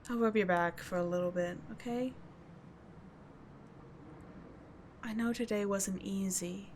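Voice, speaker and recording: A woman's voice: medium pitch, moderate pace, a little hiss or hum, lightly acted. A woman playing a tough but caring teenage delinquent schoolgirl in an ASMR roleplay, speaking in a slangy, blunt yet soothing way. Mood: soothing, protective. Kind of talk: ASMR roleplay audio drama.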